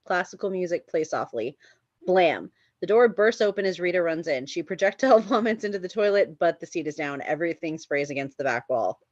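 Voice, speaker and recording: A woman's voice: on the low side, lively intonation, a little fast, darkish tone, no echo, dull audio. A woman reading screenplay stage directions as the narrator of a table read.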